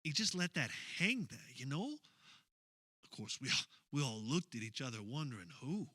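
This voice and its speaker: An actor playing an earnest, uneasy disciple of Jesus, telling his story in a halting, conversational radio theater monologue. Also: medium pitch, very animated, pushed and bright, husky, no echo, clean studio sound, heavily acted. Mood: uneasy, wondering. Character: earnest, uneasy male disciple of Jesus recalling the last supper. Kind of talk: dramatic first-person radio theater monologue.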